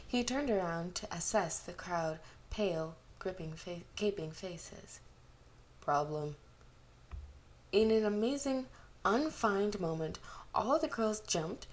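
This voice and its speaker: A woman's voice: mid-range voice, a little mellow. A woman giving a scripted story narration as an amateur fiction reader.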